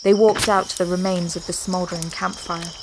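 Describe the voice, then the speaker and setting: A woman narrating a scripted audio drama in a measured storytelling manner. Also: on the low side, little pitch movement, noisy background.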